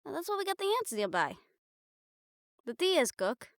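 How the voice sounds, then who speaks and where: A woman playing a caring, blunt, teasing young girlfriend in an improvised audio roleplay, chatting casually and haltingly to the listener. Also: high voice, big pitch swings, dry recording, clean studio sound. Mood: playful, blunt. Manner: casual, halting, playful swearing, affectionate teasing.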